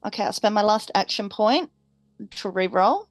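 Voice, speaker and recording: A woman's voice: medium pitch, lively intonation, normal speed, dry recording, soft background music. A woman playing a tabletop roleplaying game, chatting casually and thinking aloud hesitantly.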